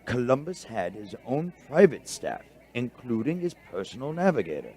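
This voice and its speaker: A man playing a bookish, excitable doctor in a radio drama, halting and stammering as he explains things. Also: medium pitch, lightly acted. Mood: excited, amazed. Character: bookish, excitable male doctor friend, an eager researcher. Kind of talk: audio drama dialogue.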